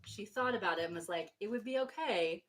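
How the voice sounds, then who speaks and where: A woman's voice: mid-range voice, expressive, a little fast, fairly bright tone. A woman giving casual commentary as a companion to a live book reading.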